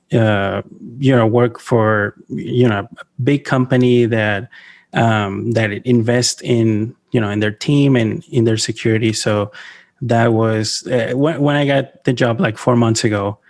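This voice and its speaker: Venezuelan-born man, halting podcast guest. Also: mid-range voice, steady tone. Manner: halting, many fillers and restarts, laughs often. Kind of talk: casual interview talk.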